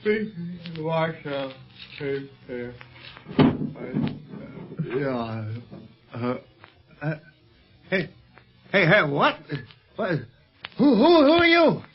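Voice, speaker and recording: A male actor playing a blustering, excitable comic sidekick in a 1930s radio serial, full of indignant protest. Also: a little high, drawn-out words, pauses often, exaggerated voice. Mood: dreamy, then startled. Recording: muffled 1930s radio recording.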